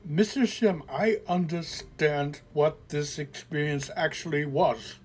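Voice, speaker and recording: A man's voice: a little high, slow, faint music behind. A man reading an audio story, voicing a frightened teenage girl who insists she was abducted and pleads to be believed. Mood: insistent, pleading. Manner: pleading, defensive, swearing in frustration.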